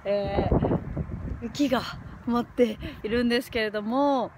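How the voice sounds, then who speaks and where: A woman in her forties, a diet coach, chatting cheerfully to a phone camera held at arm's length outdoors on a windy, snowy mountainside, with many filler pauses. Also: on the high side. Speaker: diet coach and fitness instructor. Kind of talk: casual vlog to camera.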